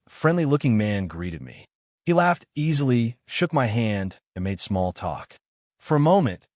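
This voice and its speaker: A man narrating a true horror story in the first person, with halting, suspenseful delivery in short broken phrases. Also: mid-range voice, no echo, studio quality.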